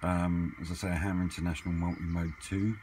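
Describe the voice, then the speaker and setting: A man's voice: deep voice, level tone, fairly quick, darkish tone. A man chatting casually and off the cuff, hesitant as he thinks aloud in a hobby vlog. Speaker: hobbyist vlogger. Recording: clearly noisy amateur camera recording.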